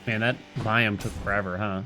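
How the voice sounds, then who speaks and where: A man's voice: on the low side, level tone, moderate pace, pushed and bright. A man livestreaming a video game, musing aloud and chatting casually with his viewers in a conversational way. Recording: game music behind the voice.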